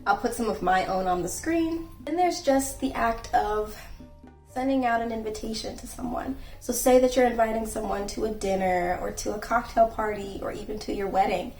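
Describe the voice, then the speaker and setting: A young woman hosting her own channel, giving earnest, gently instructive etiquette advice and acting out sample phone calls. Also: mid-range voice, normal speed. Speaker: channel host and etiquette creator. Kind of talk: casual etiquette advice vlog. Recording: echoey room, voice from a distance, faint music.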